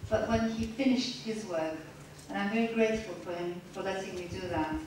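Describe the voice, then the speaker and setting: A woman reads aloud and then talks in a measured, reflective way, pausing now and then to restart a thought. She speaks at a middle pitch. She is caught from a distance in a very echoey lecture room with a little background noise. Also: a little mellow. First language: Turkish. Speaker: author at a reading. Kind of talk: reading aloud then answering questions.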